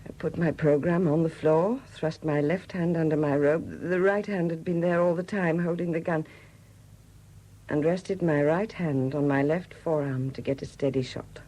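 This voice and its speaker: An actress in a 1970s British radio drama playing a widowed murderess who confesses in a hesitant, confiding manner. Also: female voice, low voice, fairly even intonation, normal speed, mellow, poor audio. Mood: tense recollection. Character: quiet confessing murderess, a Welsh sculptor's widow.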